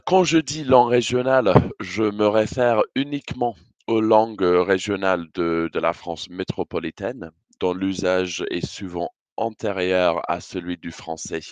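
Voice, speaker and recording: Very deep-voiced British man presenting. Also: dry recording. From Britain. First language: English. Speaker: conference speaker. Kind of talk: prepared conference presentation.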